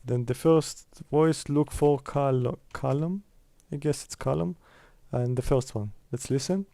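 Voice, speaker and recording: A man's voice: medium pitch, a little slow, gravelly, warm tone, no echo. A man presenting a casual step-by-step screen tutorial in a conversational way.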